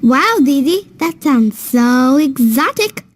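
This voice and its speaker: A woman's voice: sits high, lively intonation, slow. A woman voicing an eager, curious young child who asks wide-eyed questions in a children's educational cartoon. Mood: amazed.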